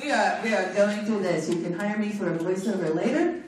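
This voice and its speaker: Loud, animated woman announcing sponsors. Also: medium pitch, brisk, recorded from a distance. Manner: loud, animated, joking asides. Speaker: conference organizer announcing sponsors. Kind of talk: playful live announcements to an audience. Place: large conference room with an audience. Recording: echoey hall recorded from afar, crowd noise.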